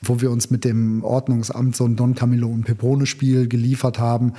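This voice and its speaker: A man in his fifties, born in northern Italy and raised in Germany, telling lively stories as a musician guest in an interview. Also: mid-range voice. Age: 50s. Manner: lively anecdotal storyteller, quick bursts. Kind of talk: casual storytelling interview.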